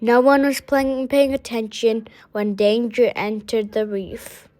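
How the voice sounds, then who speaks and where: A woman with a high voice reads a children's story aloud. Her pace is very slow and halting, with small stumbles as she goes. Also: a little mellow. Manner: halting, stumbling over words. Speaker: storybook reader.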